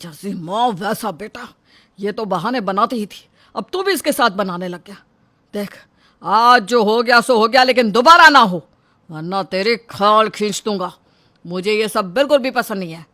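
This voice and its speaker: A performer plays a tyrannical father and shop owner in a melodramatic family story. The voice is bright and hard-edged, always domineering, with a threatening, shouting delivery. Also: mid-range voice, moderate pace, lightly acted. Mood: angry, threatening. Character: tyrannical, short-tempered middle-aged father and shop owner. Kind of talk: melodramatic audio story dialogue.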